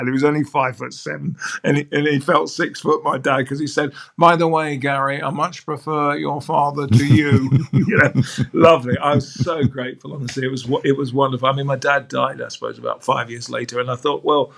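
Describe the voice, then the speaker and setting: Older man, gravelly voice, sports broadcaster, chatty and fond, restarts sentences. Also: mid-range voice, steady tone, fairly quick, a bit bright. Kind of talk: anecdotal interview storytelling.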